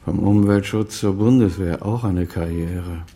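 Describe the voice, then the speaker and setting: A stern, low-voiced older father. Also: unhurried, warm tone. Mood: reproachful. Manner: reproachful, probing. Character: stern, moralizing elderly father, former chief physician. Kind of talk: naturalistic German radio drama acting.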